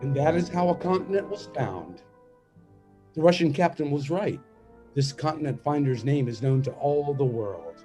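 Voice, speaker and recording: Mellow male radio play narrator. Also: a little high, animated, normal speed, faint music behind. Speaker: narrator and announcer.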